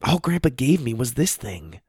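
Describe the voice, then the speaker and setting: A man voicing a brisk, practical young girl in a raised voice. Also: mid-range voice, very animated, darkish tone, slightly rough, dry recording, clean studio sound. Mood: bossy.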